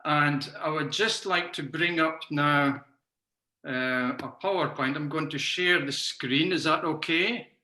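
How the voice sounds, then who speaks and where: A man's voice: a little high, measured pace, sharp tone. A man in his sixties with a Scottish accent, giving an online talk as a teacher with frequent ums and uhs. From Scotland. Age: 60s. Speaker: macrobiotics teacher. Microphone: video call. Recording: roomy echo.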